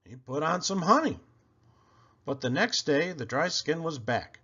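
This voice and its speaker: Animated man reading a storybook, unhurried. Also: a little high, husky, highs cut off. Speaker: storyteller reading aloud to children. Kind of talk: expressive picture book narration.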